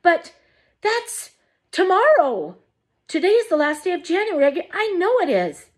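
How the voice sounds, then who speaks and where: A woman's voice: medium pitch, expressive, moderate pace, husky. A woman voicing a fussy, bustling mother in a storybook reading. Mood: astonished. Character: bossy, fussing storybook mother.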